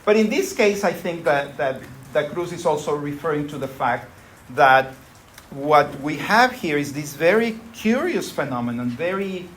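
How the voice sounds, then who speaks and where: A man's voice: on the high side, expressive, normal speed, a bit bright, a little hiss or hum. A man giving a university lecture in a conversational way, often checking in with his listeners. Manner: conversational, frequent tag "right?".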